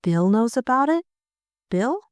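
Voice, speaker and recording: A woman's voice: on the high side, sing-song, unhurried, no echo, voice pushed up. A woman voicing a bold, eager young lady amateur sleuth in an audiobook, earnest and insistent. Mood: surprised. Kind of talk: audiobook character acting.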